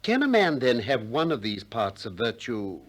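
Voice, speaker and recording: An actor playing a shrewd, probing Athenian philosopher in a 1960s radio drama, deliberate and courteous with polite irony. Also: male voice, mid-range voice, sing-song. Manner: deliberate, politely ironic, questioning.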